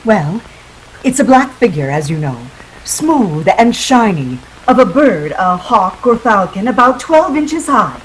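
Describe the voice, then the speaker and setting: A woman's voice: medium pitch, sing-song, unhurried, rough recording. A scheming femme fatale acted by a woman in a 1940s radio play. Her delivery is melodramatic and seductive, rising and falling widely. A little noise runs behind her. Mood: coy, evasive. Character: scheming, seductive femme fatale dame, world-weary liar.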